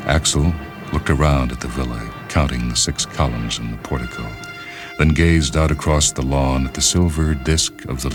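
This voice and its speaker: A man narrating a literary short story for radio in a measured, solemn manner. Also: extremely low pitch. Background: orchestral music.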